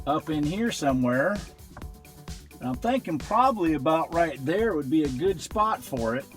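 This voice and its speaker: A middle-aged American man narrating a hands-on vlog in a casual, unhurried way, thinking aloud as he goes. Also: on the high side. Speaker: vlogger showing a vehicle radio installation.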